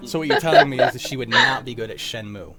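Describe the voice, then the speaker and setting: A man's voice: medium pitch, expressive, fast talker, music in the background. A young man hosting a table-read in casual, informal, sweary chat. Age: young adult. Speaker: host of a script table-read.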